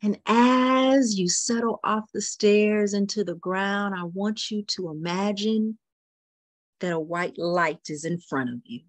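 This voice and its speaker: A woman leading a guided meditation, speaking softly and repeating phrases, often dropping into a whisper. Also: mid-range voice, dry recording. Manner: slow soothing guidance with soft whispered repetitions.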